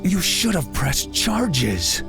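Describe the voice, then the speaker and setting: A man's voice: high-pitched, very animated, very slow, creaky, over music, full character voice. A man acting a blunt, protective young man in a dramatized audiobook, terse and insistent.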